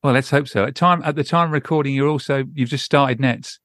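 A man's voice: medium pitch, brisk, fairly bright tone, dry recording, clean studio sound. A man hosting a podcast interview in a conversational way.

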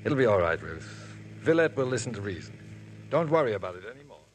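A soft-spoken troubled priest. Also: male voice, lowish voice, sing-song, quick pace. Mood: reassuring. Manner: soft, hesitant, reassuring. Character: quiet, troubled young male priest. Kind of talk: 1950s radio drama acting. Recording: old radio broadcast with clear hum.

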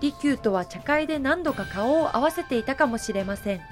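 A woman's voice: high voice, animated, fairly quick, faint music behind. A woman giving polite, explanatory scripted narration for a historical audio drama.

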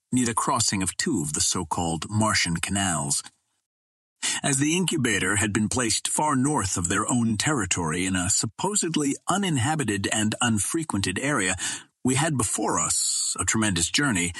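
Male narrator, scripted literary reading, measured formal delivery, husky tone, dry studio-like sound. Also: on the low side, a bit bright, dry recording. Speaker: audiobook narrator.

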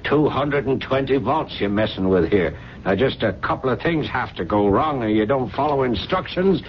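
A man's voice: medium pitch, expressive, normal speed, natural voice. An actor playing a cocky working-class repairman in a radio mystery drama, talking bluntly in colloquial slang. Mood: cocky, warning. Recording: muffled, hissy 1970s radio broadcast recording.

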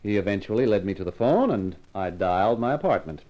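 An actor playing a tough, cocky private eye with slangy, hardboiled delivery in a 1950s radio crime drama. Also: male voice, fairly low pitch, animated, fast talker, darkish tone, muffled recording, lightly acted. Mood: wry narration. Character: tough, wisecracking, confident male private eye. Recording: vintage 1950s radio recording.

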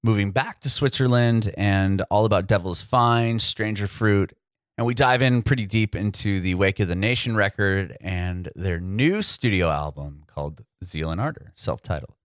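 A man's voice: on the low side, normal speed, clear, resonant tone, no echo, clean studio sound. A man from San Diego hosting a music podcast, chatty and upbeat, with lots of fillers and restarts. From San Diego, United States. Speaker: podcast host. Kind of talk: casual interview chat and scripted intro.

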